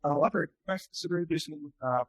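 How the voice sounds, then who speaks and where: A man's voice: fairly high pitch, a little fast, dry recording. A man in the audience asking a spontaneous, hesitant question at a conference.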